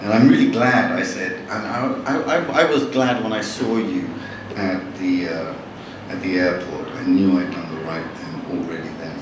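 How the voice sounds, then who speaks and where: Wry man talking in an echoey hall. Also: low-pitched. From born in Bangladesh, raised in London. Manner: wry, chatty, halting with frequent restarts. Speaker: novelist giving a talk. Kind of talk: live talk to an audience. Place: large hall with audience. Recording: echoey hall from far off, noisy.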